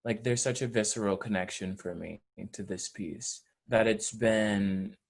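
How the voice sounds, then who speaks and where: A man actor talking reflectively in an interview, earnest and measured, choosing his words with care. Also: fairly low pitch, darkish tone. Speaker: stage actor in an audio play.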